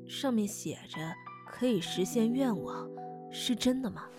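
A woman's voice: on the high side, unhurried. A woman playing an earnest, timid, grieving townswoman in a Chinese fantasy radio drama, speaking hesitantly. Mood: earnest, troubled. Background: background music.

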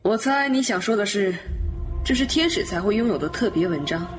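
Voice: mid-range voice, animated, a little fast, over music, close to an everyday voice. A voice actor playing a sly, smug male death god who teases and mocks in an audio drama. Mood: teasing. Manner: teasing, mocking. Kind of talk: Chinese audio drama acting.

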